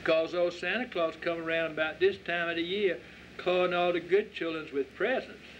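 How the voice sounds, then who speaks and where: Man putting on a stubborn little Southern boy, higher-pitched. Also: moderate pace, close to an everyday voice. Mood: insistent, boastful. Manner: insistent, folksy, argumentative. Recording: dull lo-fi audio with faint noise.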